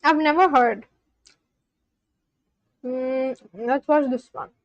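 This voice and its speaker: A woman's voice: high voice, takes it slowly, dry recording, highs cut off. A woman from India, chatting casually on a live stream at home and answering her chat aloud. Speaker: language-learning livestreamer. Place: at home at a laptop.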